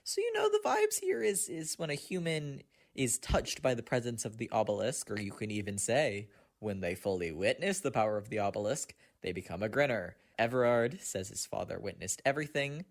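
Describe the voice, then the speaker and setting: A man leaving a voice message, casually explaining fan theories in a keen, earnest way. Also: fairly low pitch. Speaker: listener sending a voice message.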